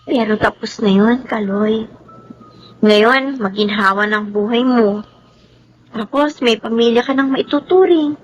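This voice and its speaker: A woman's voice: a little high, unhurried, muffled recording, a little hiss or hum, close to an everyday voice. A woman with a Filipino accent acting a frank, teasing but kind teenage girl in a radio drama. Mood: reassuring. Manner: playful, outspoken.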